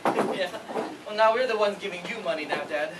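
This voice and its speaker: A man's voice: high-pitched, a little fast, lightly acted. A man playing a blunt soldier son around thirty in a staged play reading. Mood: easygoing. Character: blunt thirty-year-old soldier son, organized, married younger brother. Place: theater stage, recorded from the audience. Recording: distant, noisy live theater recording.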